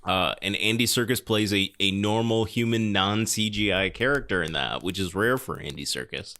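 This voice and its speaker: Male podcast host, lowish bright voice, emphatic and wry, casual movie chat. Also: normal speed. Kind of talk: casual film discussion chat.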